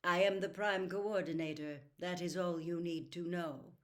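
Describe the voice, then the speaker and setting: A cold, aloof mastermind from a storybook, a man voiced by a woman reader. He speaks formally and dismissively, with imperious control and a slightly low pitch for her sex. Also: fairly even intonation, normal speed, lightly acted. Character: cold, aloof red-eyed male villain, controlling mastermind. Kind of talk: audiobook character voice.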